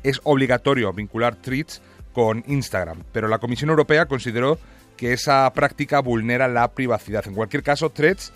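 A man's voice: medium pitch, normal speed. Male tech news reporter from Spain. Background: music.

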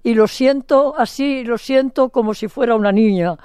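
A woman's voice: fairly high pitch, moderate pace, mellow, clear, resonant tone, dry recording, clean studio sound. An older woman from Spain giving a chatty, playful interview, rambling and restarting her sentences often. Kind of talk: casual phone interview.

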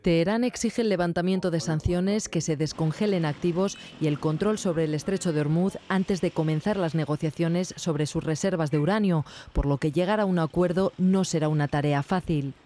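A man narrates a scripted news report. His voice is on the high side for a man, with a very mellow, rounded tone. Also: moderate pace, a little hiss or hum.

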